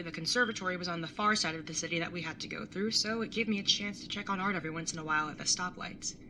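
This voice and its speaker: A woman's voice: fairly low pitch, background noise, lightly acted. A young woman playing a caring, slightly awkward and wry older sibling in a casual audio drama. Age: young adult. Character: awkward, caring older sibling, early twenties, tattooed, teasing. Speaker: narrator and lead character. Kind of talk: audio drama acting with first-person narration.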